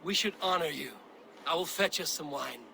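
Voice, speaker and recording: An actor playing a stern, commanding Viking warrior in a video game, speaking in measured, decisive, terse phrases. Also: male voice, on the high side, creaky, pushed and bright, slight background noise, heavily acted, poor audio. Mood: courteous. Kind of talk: cinematic video game acting.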